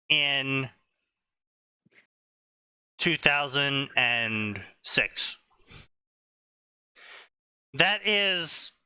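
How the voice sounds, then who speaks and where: A man co-hosting a casual stream, talking slowly and deliberately, often checking "right?". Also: fairly high pitch, sharp tone, clear, resonant tone, dry recording, low-quality recording. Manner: explanatory, slow and deliberate, asks "right?" often. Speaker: stream co-host.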